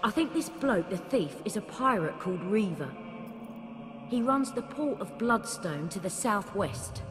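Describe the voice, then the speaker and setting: A woman's voice: mid-range voice, lively intonation, a little slow, close to an everyday voice. An actress with a British accent playing a brash, blunt, sarcastic young warrior woman in a fantasy video game. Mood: confident, earnest. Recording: long hall reverb, game music behind.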